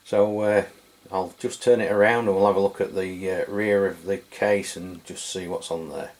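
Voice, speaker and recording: A man's voice: low voice, fairly even intonation, normal speed. A man from England giving a casual, hesitant product review with many fillers. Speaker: radio reviewer. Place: home radio room.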